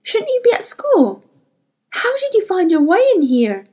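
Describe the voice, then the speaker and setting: Woman reader plays a worn-out scientist woman, high-pitched and clipped, skeptical, bouncing between highs and lows. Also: huge pitch swings, a little slow, warm tone, full character voice. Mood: exasperated. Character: tired, brisk adult woman scientist.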